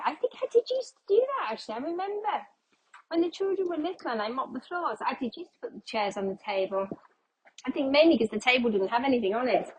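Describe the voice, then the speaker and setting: A middle-aged woman chats away while she mops, thinking aloud and poking fun at herself. She sounds a little out of breath and slightly congested, with her voice starting to go. Her voice sits fairly high, with a faint hum of noise in the room. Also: poor audio. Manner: chatty, self-deprecating, thinks aloud. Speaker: cleaning vlogger. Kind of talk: casual chat while cleaning. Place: home kitchen while mopping.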